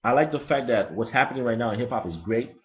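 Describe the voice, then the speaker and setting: A man with a Haitian accent who grew up in Brooklyn, ranting bluntly and profanely in a casual, rambling album review. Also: fast. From Brooklyn, New York. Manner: profane, blunt and rambling, lively pitch swings. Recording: lo-fi dull recording.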